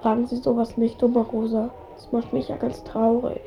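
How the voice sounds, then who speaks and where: A woman's voice: on the high side, level tone, moderate pace, vocal fry, dark tone, slight background noise. A woman playing a stubborn, wounded ten-year-old boy in a radio drama, with a blunt, earnest delivery. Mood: saddened.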